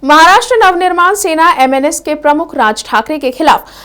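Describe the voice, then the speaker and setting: A woman's voice: high voice, lively intonation, brisk, sharp tone. A woman presenting news headlines in a scripted news-reading style.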